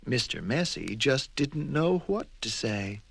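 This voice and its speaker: A man narrating a scripted children's story in a measured way with dramatic pauses. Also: medium pitch, sing-song, creaky, a bit bright, breathy edge, dry recording. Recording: 1980s read-along record with music.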